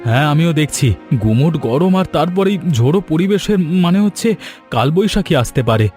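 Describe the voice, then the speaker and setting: A man's voice: a little high, lively intonation, a little fast, darkish tone, faint music behind, natural voice. A man playing a practical, reassuring elder brother in a cartoon family drama, a little hesitant. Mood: concerned, practical.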